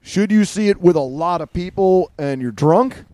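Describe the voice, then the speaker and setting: A man's voice: high voice, animated, moderate pace, warm tone, dry recording. A man co-hosting a podcast, chatting loosely about a movie with plenty of fillers. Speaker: podcast co-host. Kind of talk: casual movie review chat.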